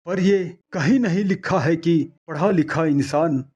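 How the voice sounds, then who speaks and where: A man's voice: fairly high pitch, unhurried, darkish tone, breathy edge, dull audio. A man voicing a patient but firm older village farmer and father in a moral story. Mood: firm, persuasive. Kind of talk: acted moral-story dialogue.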